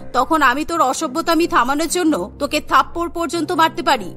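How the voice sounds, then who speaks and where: A woman's voice: sits high, fairly bright tone. A woman voicing a stern, protective middle-aged mother-in-law in a cartoon story, speaking firmly and emphatically. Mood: angry scolding. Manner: firm, scolding, emphatic. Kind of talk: Bengali cartoon story acting.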